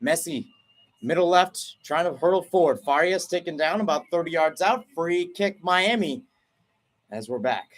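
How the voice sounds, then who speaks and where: Excited young male soccer play-by-play caller. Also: a little high. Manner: brisk excited calling with exclamations. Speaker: play-by-play commentator. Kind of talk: radio-style soccer play-by-play. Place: remote broadcast from home setup.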